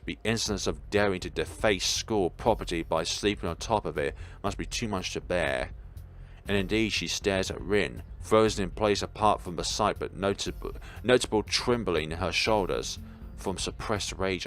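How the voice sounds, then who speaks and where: A man's voice: sits low, normal speed, a bit bright. A man reading a visual novel aloud as a let's player, giving dramatic scripted narration with occasional stumbles and repeated words.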